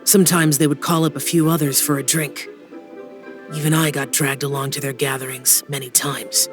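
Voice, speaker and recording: A man's voice: mid-range voice, level tone, moderate pace, close to an everyday voice. A man playing a reserved, brooding young immortal guardian in a dubbed fantasy game, speaking solemnly and formally, with some hesitation. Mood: pensive, guarded. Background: soft music.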